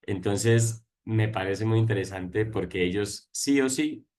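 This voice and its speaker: Low-voiced guy, teacher, keeps repeating himself, hesitant. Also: unhurried, a little mellow. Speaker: university teacher. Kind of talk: online class discussion.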